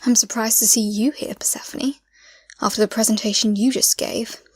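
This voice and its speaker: A cold, spiteful queen in an audio drama. Her voice is fairly high and breathy, almost whispered. She speaks with sneering condescension, recorded close and dry. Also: fairly even intonation, normal speed, darkish tone, dry recording. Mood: scornful. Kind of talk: audio drama villain acting.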